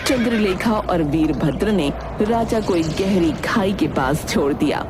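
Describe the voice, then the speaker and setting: Woman narrating a dramatic story, lively pitch. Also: measured pace, over music.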